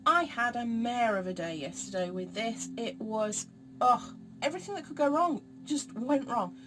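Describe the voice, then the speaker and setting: A woman, a bit throaty and croaky, giving a chatty, encouraging monthly tarot reading straight to her viewers. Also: on the high side, lively intonation, moderate pace, music in the background. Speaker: tarot reader.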